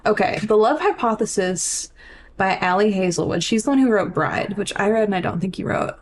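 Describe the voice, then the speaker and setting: A woman's voice: medium pitch, expressive, fairly quick, a little hiss or hum. A woman co-hosting a podcast, chatting casually and informally about books, with swearing and lots of "like". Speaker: podcast co-host.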